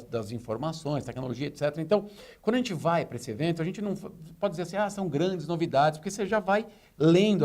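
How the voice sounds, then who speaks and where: A man's voice: fairly high pitch, a little mellow. A man with a Brazilian Portuguese accent, a retail consultant answering interview questions in a fluent, explanatory way on a business TV show. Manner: fluent, explanatory, tells anecdotes.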